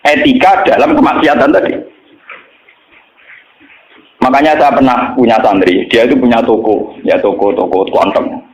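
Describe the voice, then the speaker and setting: A man's voice: mid-range voice, moderate pace, long pauses. A middle-aged man with a Javanese accent gives an informal religious lecture as a cleric, speaking colloquially and bluntly in anecdotes, with rhetorical check-in questions. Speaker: Islamic cleric and religious teacher. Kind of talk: informal religious lecture mixing storytelling with teaching. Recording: dull, echoey, noisy lo-fi recording.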